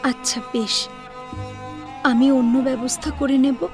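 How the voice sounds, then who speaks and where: A woman playing a gentle, self-effacing young female student in an audio story, soft-spoken and dignified though hurt. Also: sits high, fairly even intonation, slow, music in the background. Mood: subdued, reassuring. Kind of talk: Bengali audio story acting.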